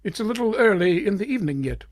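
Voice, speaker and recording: A man playing a stuffy, haughty waiter in an old-time radio drama, formal, pompous and icily polite, with a husky voice. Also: high-pitched, expressive, fast, no room sound. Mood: offended. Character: stuffy, haughty, easily offended male waiter. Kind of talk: vintage radio drama acting.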